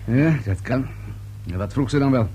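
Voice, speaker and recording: An actor playing a persistent police inspector, questioning politely but insistently in a radio play. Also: male voice, mid-range voice, lively intonation, normal speed, warm tone, slight background noise, lightly acted. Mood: probing.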